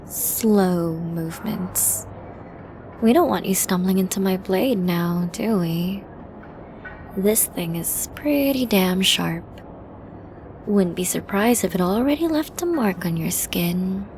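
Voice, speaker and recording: A woman's voice: medium pitch, lively intonation, slow, darkish tone, a little hiss or hum. A woman playing a flirty, dominant villain who taunts a hostage in an ASMR roleplay, teasing and menacing, often dropping toward a whisper. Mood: menacing, hushed. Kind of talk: ASMR roleplay audio drama.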